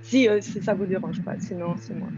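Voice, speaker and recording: A woman from Kazakhstan, a guest artist, answering press questions on a video call in a polite, measured way. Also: medium pitch, warm tone, muffled recording, faint music behind. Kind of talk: online press conference answers. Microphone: video call mic.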